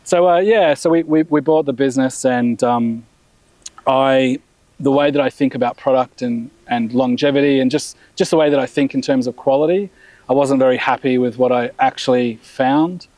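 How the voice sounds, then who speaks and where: Relaxed businessman, dry close sound. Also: mid-range voice, moderate pace, no room sound, low-quality recording. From Australia. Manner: relaxed, rambling with many restarts and fillers. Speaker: brand founder and CEO. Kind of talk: casual interview.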